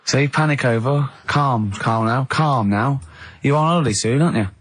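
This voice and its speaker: A man's voice: medium pitch, moderate pace. A man co-hosting a radio show in casual, needling banter, probing with mock concern.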